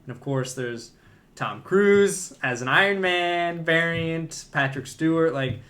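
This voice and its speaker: A man's voice: fairly high pitch, slow, a bit bright. A man in casual conversation as a podcast co-host discussing films.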